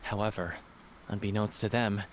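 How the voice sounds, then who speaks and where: A man playing an excitable, earnest playwright who tells stories theatrically in video game dialogue. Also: lowish voice, normal speed, slight background noise, lightly acted. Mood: earnest storytelling. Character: excitable young male playwright, earnest storyteller.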